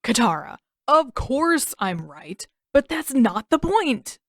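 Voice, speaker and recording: A woman's voice: high voice, big pitch swings, slow, fairly bright tone, no echo, clean studio sound, voice pushed up, heavily acted. A woman voicing a sarcastic, exasperated teenage boy in an audiobook story, with emphatic delivery. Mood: exasperated sarcasm. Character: sarcastic teenage boy, exasperated, nervous big-brother type.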